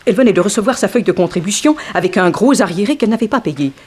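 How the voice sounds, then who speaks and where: An actress playing a frank, wry young dancer in an early 1960s French radio mystery, theatrical and gossipy. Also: female voice, mid-range voice, animated, racing, darkish tone, breathy edge. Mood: matter-of-fact.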